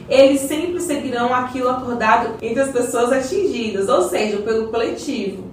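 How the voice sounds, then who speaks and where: A woman's voice: on the high side, natural voice. A woman with a Brazilian accent acting a patient, knowledgeable community member in an educational radio play, explaining in a colloquial way. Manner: didactic, colloquial. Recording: roomy echo, distant mic, faint noise.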